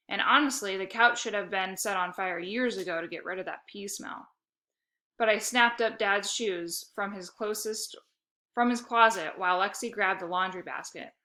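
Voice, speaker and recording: Bright-voiced woman reading a children's story. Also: mid-range voice, normal speed. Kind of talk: animated scripted narration of a children's story.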